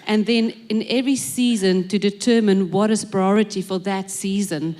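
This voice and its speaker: A woman's voice: medium pitch, fairly even intonation, normal speed, a little mellow. A woman who is a church minister, speaking on a panel in a thoughtful, hesitant way with many ums. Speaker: executive minister. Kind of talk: panel conversation. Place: church stage at a live service.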